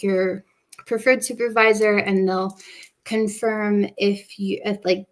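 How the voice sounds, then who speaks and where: A young woman in her twenties, a master's student, casually presenting her study program on a video call with lots of fillers and "like". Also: medium pitch. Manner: relaxed, frequent fillers and "like". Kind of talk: casual presentation at an online open day.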